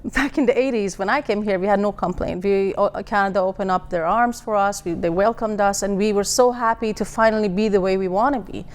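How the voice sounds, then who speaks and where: A woman's voice: mid-range voice, brisk, hardly any pauses. A woman from Iran, a singer interviewed as a guest, answering earnestly and often restarting her sentences.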